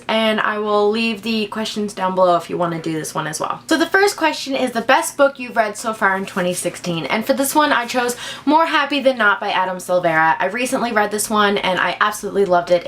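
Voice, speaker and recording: A woman's voice: mid-range voice, expressive, moderate pace, fairly bright tone. A young woman talking casually and enthusiastically to camera as a book vlogger. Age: young adult.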